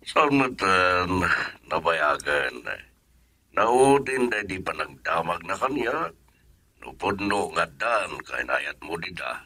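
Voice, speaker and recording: A man's voice: sits low, animated, slow pace, sharp tone, breathy edge, exaggerated voice. A man with an Ilocano accent playing a fond, teasing older father in a radio drama. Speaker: father character.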